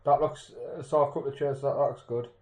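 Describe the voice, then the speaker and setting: Male co-host, relaxed chat, middle pitch, filler-heavy, echoey room sound. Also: fast talker, crowd noise. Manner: easygoing, lots of fillers and teasing. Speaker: podcast co-host. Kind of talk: casual chat over beers.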